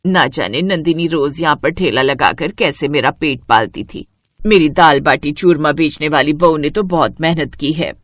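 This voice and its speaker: A woman's voice: low voice, normal speed, dry recording, studio quality, voice pushed down. A woman voicing an elderly mother-in-law who is caring, anxious and stubborn, in a storybook tale. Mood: worried. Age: older.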